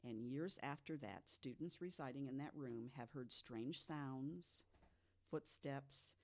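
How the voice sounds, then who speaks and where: Podcast co-host, mid-pitched, deliberate delivery from notes, conversational with emphatic asides. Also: darkish tone. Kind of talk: storytelling from notes to co-hosts.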